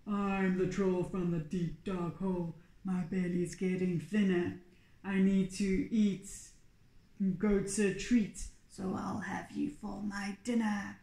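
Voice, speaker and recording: A woman voicing a big hairy, hungry male bridge troll in a storybook, roaring and chanting drawn-out threats. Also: mid-range voice, steady tone, reverberant, pitched below the performer's own voice. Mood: menacing.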